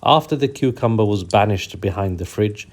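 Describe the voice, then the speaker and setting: A man hosting a children's bedtime story show, narrating in a gentle, soothing, unhurried way. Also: on the low side.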